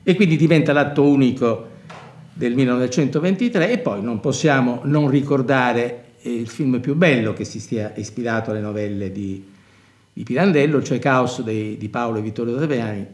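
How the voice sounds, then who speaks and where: Older man, professor type: scholarly, deliberate, searching for words in literary commentary; roomy, echoing stage sound. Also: medium pitch, a little mellow. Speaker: university professor of performing arts. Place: dark indoor theatre stage set, seated at table.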